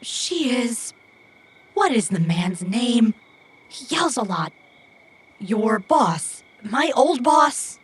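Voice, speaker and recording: Slow-talking, stilted, earnest young runner. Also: female voice, fairly high pitch, big pitch swings, slight background noise, full character voice. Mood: animated, determined. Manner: formal, stilted, precise phrasing without contractions. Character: earnest, literal-minded young female runner, childlike and stubborn. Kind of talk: audio drama acting.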